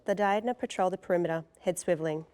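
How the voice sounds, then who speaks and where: A woman's voice: on the low side, fast talker, warm tone, clear, resonant tone. A woman reading scripted stage directions as the narrator of a table read.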